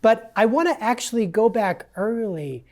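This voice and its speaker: A man hosting an interview podcast, enthusiastic and quick with affirmations. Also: sits high, animated, darkish tone. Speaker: host.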